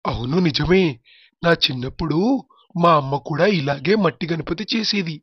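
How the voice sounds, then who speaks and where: A man's voice: fairly high pitch, normal speed, breathy edge, no room sound. A man playing a humble, grateful poor village husband and father in a moral story cartoon. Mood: curious, admiring. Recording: dull, muffled track with music. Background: background music.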